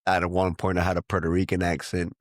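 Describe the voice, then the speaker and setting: A very low-pitched man with a Venezuelan-tinged accent, Spanish being his first language. He talks casually and rambles through stories, acting out other people's lines. The sound is close and dry. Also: completely flat delivery, brisk, no echo. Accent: Venezuelan Spanish-tinged American English. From United States. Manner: rambling storyteller, many restarts and quoted dialogue. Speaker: podcast guest. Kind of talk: casual conversation.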